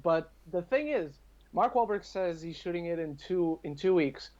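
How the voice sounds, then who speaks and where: A man's voice: high-pitched, lively intonation, moderate pace, a little mellow. A man speaking as a podcast guest, giving his opinions on films in casual chat. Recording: dull lo-fi call-like audio.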